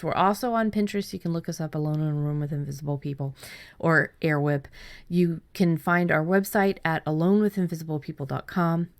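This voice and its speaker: A woman hosting a writing podcast, talking casually with frequent restarts and repeated words. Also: deep voice. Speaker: podcast host.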